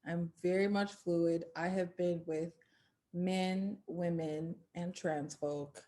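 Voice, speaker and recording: A woman's voice: fairly low pitch, fairly even intonation, takes it slowly, dark tone. A woman in her late twenties hosting a casual interview, talking openly and candidly and laughing often. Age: 20s. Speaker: interview host. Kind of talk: casual chat.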